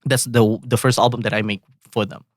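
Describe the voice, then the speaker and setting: Indonesian man, halting speech. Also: mid-range voice, flat delivery, no room sound, clean studio sound. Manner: halting, many fillers and restarts. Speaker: guest composer. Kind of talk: casual interview chat.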